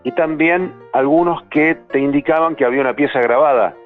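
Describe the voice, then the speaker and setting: A man's voice: on the high side, a little fast, soft background music. A radio announcer, a man, recalling and explaining things conversationally, correcting himself as he goes. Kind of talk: conversational recollection. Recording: dull dry lo-fi sound.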